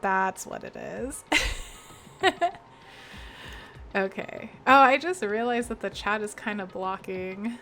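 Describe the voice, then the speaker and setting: A woman's voice: medium pitch, slow, pauses often, a bit bright, faint music behind. A young woman streaming a game, chatty and laughing often, greeting viewers by name, her voice congested with a sore throat. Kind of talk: casual game stream chat.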